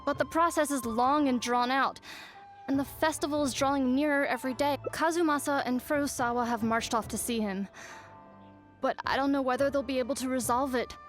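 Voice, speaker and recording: A woman's voice: sits high, a little fast, faint music behind, natural voice. A woman voicing a flustered, earnest young attendant of a noble clan in a video game, speaking in a fretful, polite, wordy way. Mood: worried, dismayed. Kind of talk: English video game voice acting, scripted dialogue.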